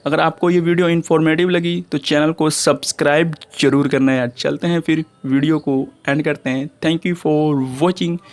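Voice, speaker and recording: A man's voice: on the high side, steady tone, normal speed, few pauses. A man with an Indian accent hosting an online channel, giving informal gossip news commentary and addressing viewers as friends in a repetitive way. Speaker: YouTube channel host.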